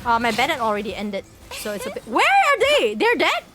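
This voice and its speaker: High, very animated woman game streamer. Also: a little fast. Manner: playful, exclamatory, repeats phrases. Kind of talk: casual livestream gameplay chat. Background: game music.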